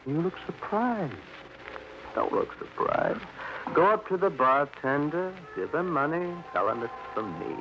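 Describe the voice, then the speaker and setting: An American actor playing a bitter, self-pitying young drunk in a 1940s radio crime drama, with florid, mock-grand delivery. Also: male voice, a little high, lively intonation, unhurried. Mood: bitter, sardonic. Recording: dull vintage radio recording with music behind.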